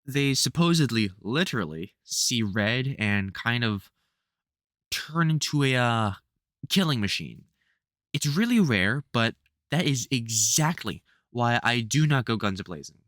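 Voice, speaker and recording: A man with a British accent playing a caring veteran vampire hunter in an audio roleplay, halting and sweary, exasperated but gentle. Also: mid-range voice, lively intonation, no room sound, studio quality. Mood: uneasy, explaining. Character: gruff, caring veteran male vampire hunter, protective and worried. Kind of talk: audio roleplay, speaking to an unheard listener.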